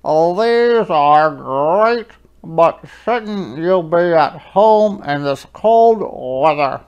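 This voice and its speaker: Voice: medium pitch, animated, drawn-out words, a little mellow, clean tone, dull audio, pitched above the performer's own voice. A storyteller voicing a friendly, caring otter with freezing paws from a children's picture book. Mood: grateful, concerned.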